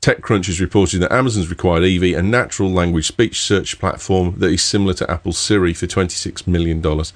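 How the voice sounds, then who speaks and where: A man's voice: deep voice, brisk, few pauses. A man with a Birmingham accent, co-hosting a casual tech podcast and reading news out in a chatty way. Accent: Birmingham English. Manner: chatty, reads news aloud. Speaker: podcast co-host.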